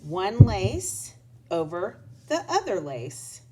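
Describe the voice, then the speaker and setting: A woman's voice: mid-range voice, very animated, takes it slowly, heavy background noise. A woman voicing a patient, helpful young schoolgirl who teaches a friend in a storybook. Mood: instructive. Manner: encouraging, instructive.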